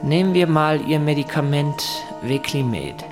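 An actor playing a controlled, probing interrogator in an audio drama, questioning in a measured, insistent way. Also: male voice, fairly high pitch, animated. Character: controlled, probing male interrogator holding a captive. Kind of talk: scripted audio drama dialogue. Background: music.

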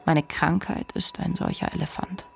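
An actor playing a reflective, ashamed narrator confiding a memoir in a measured literary radio monologue. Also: mid-range voice, fairly even intonation, gravelly, husky, heavily acted. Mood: reflective, confessional.

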